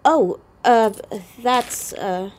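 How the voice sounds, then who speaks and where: An actress playing a persistent, inquisitive teenage girl sleuth in an audio drama, earnest and halting as she probes. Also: fairly high pitch, expressive, darkish tone, slight background noise. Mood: awkward.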